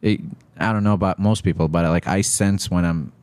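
A man in his thirties who grew up in Iraq, a stand-up comedian guest talking in a halting, blunt, profane way at a studio desk mic. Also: low voice, steady tone, a little mellow, no room sound. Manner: halting, many restarts and fillers, blunt and profane. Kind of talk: casual podcast chat. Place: small studio with textured walls. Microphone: desk mic on stand, headphones.

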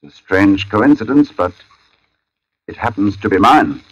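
Male, low register, expressive swings, as a haunted, brooding storyteller in vintage radio suspense, music underneath. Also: muffled recording. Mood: shaken. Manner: brooding, deliberate, halting when shaken. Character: uneasy male sketch artist narrating an eerie tale. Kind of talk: 1940s radio suspense acting. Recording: old radio recording with music behind.

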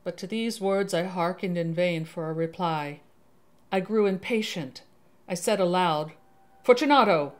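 A woman's voice: lowish voice, measured pace, a little mellow. A woman storyteller giving a theatrical, ominous dramatic reading of a gothic story. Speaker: storyteller, channel host. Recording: music under the voice.

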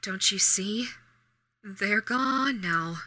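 An obsessive doppelganger posing as an ex, acted by a woman in a horror audio roleplay. The female voice is bright and crisp-edged at a middle pitch, halting and intimate, as if addressing one listener. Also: full character voice. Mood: hushed, obsessive longing. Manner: halting, stammering, soft-spoken in menace. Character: obsessive shapeshifting doppelganger posing as a nervous, pleading ex.

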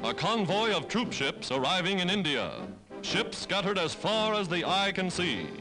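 High-pitched American 1940s newsreel man. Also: big pitch swings, moderate pace, poor audio. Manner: declamatory, formal. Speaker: newsreel narrator. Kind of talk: 1940s newsreel scripted narration. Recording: old 1940s newsreel soundtrack, loud music. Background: loud newsreel music.

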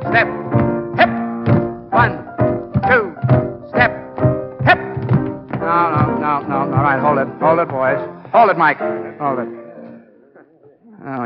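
An actor playing a driven, commanding college football coach in a brisk, urgent 1940s radio style. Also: male voice, on the high side. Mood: urgent, drilling. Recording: muffled old 1940s radio recording.

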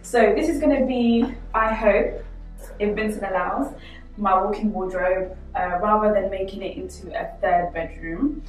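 A woman's voice: medium pitch, unhurried. A young woman giving a casual, chatty house tour straight to her viewers, walking through empty rooms. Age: young adult. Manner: chatty, addressing viewers directly. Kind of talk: casual vlog walkthrough. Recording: echoey empty rooms, distant mic, music.

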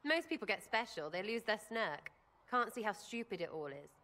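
An actress with an English accent playing a cheeky, irreverent young elf archer in a video game, rambling in blunt slang. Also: female voice, mid-range voice, sing-song, moderate pace, pushed and bright. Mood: cheeky, playful. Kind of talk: video game character acting.

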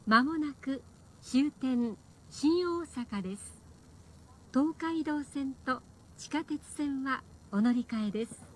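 Lady train announcer, very high voice, slow scripted delivery, bit of noise. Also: animated. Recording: train speaker with faint noise.

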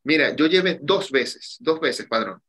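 A man's voice: on the high side, expressive, measured pace, fairly bright tone. A man in his thirties, a cigar shop owner, talking casually over a video call from a small room, drawing out his phrases with many fillers. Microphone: webcam on a video call.

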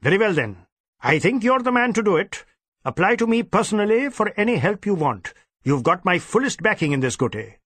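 A man's voice: sits high, big pitch swings, brisk, fairly bright tone, no echo, voice pushed up, exaggerated voice. A man voicing an irascible, overbearing senior Indian police chief who snaps and repeats himself impatiently, in an audiobook. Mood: stern, commanding. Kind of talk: audiobook character acting.

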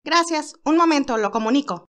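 A woman's voice: sits high, expressive, a little fast, fairly bright tone, pitched above the performer's own voice. A woman voicing a polite, businesslike female telephone operator in a story, formal and courteous.

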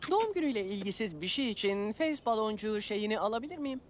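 A woman voicing an eager, fussy young male cat in a children's cartoon dub, with an excitable manner. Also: medium pitch, fast, noisy background, close to an everyday voice. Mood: sly, fussy. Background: loud music.

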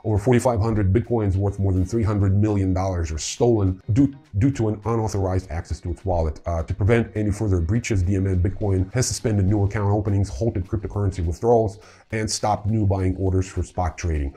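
A man's voice: lowish voice, brisk, warm tone, husky. A middle-aged man hosting a news rundown, reading semi-scripted items with informal, wry asides. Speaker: host of a crypto news show. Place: indoor room with wooden staircase.

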